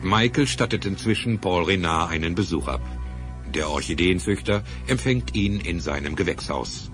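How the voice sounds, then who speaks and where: A man's voice: low voice, lively intonation, normal speed. A man as the sober narrator of a crime adventure audio drama, reading scripted scene descriptions. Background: music.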